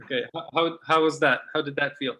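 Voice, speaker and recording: Male improv workshop leader, forceful bright tone, slightly high pitch, speaking on a video call. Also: fairly even intonation, a little fast, poor audio. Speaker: workshop facilitator. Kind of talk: leading an improvisational theatre workshop. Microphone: computer video call.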